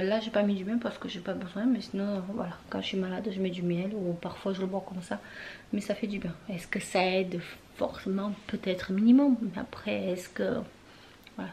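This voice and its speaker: A young woman talks casually in her bedroom, rambling through loose, trailing sentences full of fillers. She often drifts into a soft near-whisper. Faint room noise sits underneath. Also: medium pitch. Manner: chatty and rambling, many fillers and trailing phrases. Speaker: beauty vlogger. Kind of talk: casual chat to camera.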